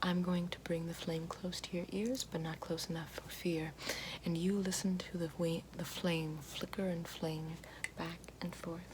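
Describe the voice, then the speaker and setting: Soft whispering witch, a woman acting her out. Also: on the low side, little pitch movement, natural voice. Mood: soothing. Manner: slow soothing, repeats praise. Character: calm gentle female witch guiding elements. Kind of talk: ASMR roleplay. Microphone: close binaural-style mic. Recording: noisy hiss behind voice.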